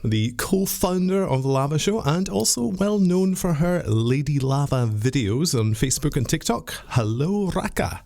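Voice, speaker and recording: A man with a Scottish accent, a former teacher, hosting a podcast with an upbeat, clear presenter delivery. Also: a little high, expressive, unhurried, few pauses, darkish tone, dry recording. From Scotland. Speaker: podcast host. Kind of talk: scripted intro and interview hosting.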